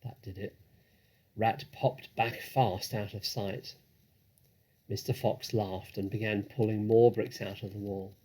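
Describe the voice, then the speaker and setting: A man reading a children's story aloud as an expressive narrator, with deliberate, dramatic phrasing. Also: on the low side. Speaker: story reader.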